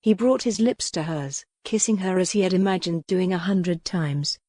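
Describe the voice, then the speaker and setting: A woman's voice: lowish voice, normal speed, warm tone, no echo, studio quality. A woman reading historical fiction as a scripted, dramatic audiobook narration.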